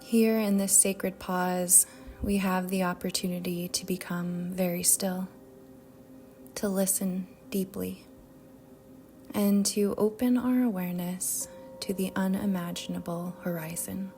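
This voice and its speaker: A woman guiding a meditation in a soft, whispery-edged voice, speaking gently and soothingly with long pauses. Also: lowish voice, steady tone. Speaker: yoga nidra meditation guide. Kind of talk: guided meditation narration. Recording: soft music bed behind voice. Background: music.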